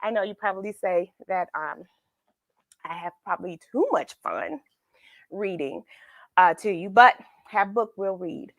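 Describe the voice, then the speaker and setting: Lady doing a kids read-along, slow talker, dry close sound, playful and encouraging, repeats herself. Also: mid-range voice, vocal fry, dry recording. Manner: playful, affectionate and encouraging, repeats phrases for emphasis. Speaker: children's read-along host. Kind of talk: informal, chatty address to children.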